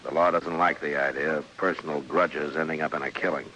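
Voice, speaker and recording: An actor playing a stern, steady western town marshal in an old-time radio drama, terse and matter-of-fact. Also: male voice, very deep voice, a little fast. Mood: urgent, concerned. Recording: noisy old radio recording.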